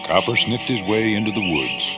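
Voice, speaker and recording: A man's voice: on the low side, lively intonation, normal speed. A man narrating a scripted children's storybook as a read-along. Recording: loud music behind the voice.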